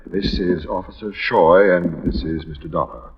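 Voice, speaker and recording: An actor playing a gentle, protective, courteous doctor in an old radio drama, formal and solicitous. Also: male voice, low-pitched, moderate pace, lightly acted. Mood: soothing, protective. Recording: dull noisy vintage radio recording.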